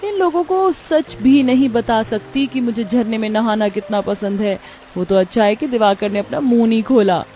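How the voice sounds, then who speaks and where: A woman's voice: a little high, moderate pace, few pauses, mellow, a little hiss or hum, lightly acted. Woman as sly, greedy young daughter-in-law. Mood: secretly pleased. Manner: self-serving, complaining.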